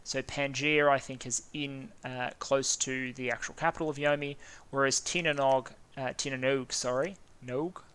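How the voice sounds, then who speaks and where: A man's voice: medium pitch, steady tone, moderate pace, a bit bright, smooth. A man with an Australian accent casually talking through a strategy game, thinking aloud and stumbling over names. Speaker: gaming video host.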